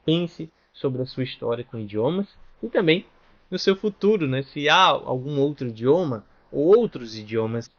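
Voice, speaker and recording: A man's voice: medium pitch, lively intonation, normal speed. Brazilian man teaching, slightly dull audio. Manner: explanatory, with frequent "né?" and "tá bom?" check-ins. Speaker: language teacher. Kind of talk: online lesson.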